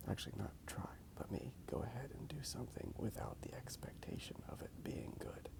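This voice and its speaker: Whispering man, slow and very creaky. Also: sing-song. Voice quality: breathy, whispery soft voice. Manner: unhurried, halting, low-key rambling. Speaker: host of a drawing ASMR channel. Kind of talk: ASMR soft-spoken rambling commentary.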